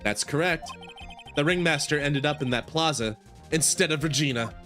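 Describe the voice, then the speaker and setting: A man's voice: fairly high pitch, moderate pace, pushed and bright, soft background music. A man voicing an earnest young male defense lawyer in a video game, explaining firmly.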